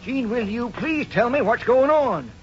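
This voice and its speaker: Excitable high-voiced cowboy sidekick. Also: male voice, a little slow, background noise. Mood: impatient, curious. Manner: folksy, puzzled. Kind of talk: 1950s radio western acting. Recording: muffled old radio recording.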